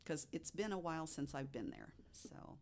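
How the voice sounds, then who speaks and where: A woman's voice: low voice, huge pitch swings, moderate pace, vocal fry, a little mellow. A woman on a podcast panel recounting a story in casual, conversational speech.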